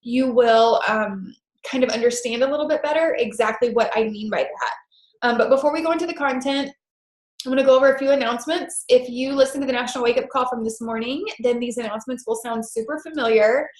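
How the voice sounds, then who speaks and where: A woman's voice: on the high side, fairly quick, roomy sound. A woman with a stuffed-up head cold, leading a team call in an upbeat, chatty way. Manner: upbeat and enthusiastic with many "super"s and "um"s. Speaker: team leader of a coaching group. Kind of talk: hosting a team conference call with announcements.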